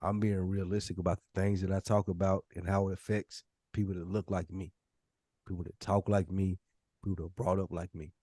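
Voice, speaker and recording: A man with a fairly low voice talks on a casual podcast panel. He sounds blunt and matter-of-fact and swears freely. The recording is very dry and close. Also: level tone, brisk, dark tone, no echo. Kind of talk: casual chat.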